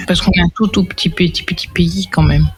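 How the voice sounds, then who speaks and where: A man's voice: high voice, fairly even intonation, normal speed. A man co-hosting a casual talk show who rambles and corrects himself mid-sentence. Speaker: co-host.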